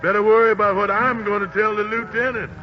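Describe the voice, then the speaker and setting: Gruff 1940s radio sergeant, barking and threatening, voiced by a man; muffled, reverberant old recording. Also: high voice, flat delivery, fast talker, loud music behind the voice, close to an everyday voice. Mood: stern. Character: gruff army sergeant, middle-aged man, stern.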